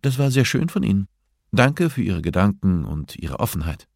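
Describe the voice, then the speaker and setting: A man voicing a composed, assertive female prison therapist in an audiobook, speaking in a measured, instructive way. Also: low voice, very animated, gravelly, darkish tone, no echo, clean studio sound, full character voice. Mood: polite. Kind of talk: audiobook character dialogue.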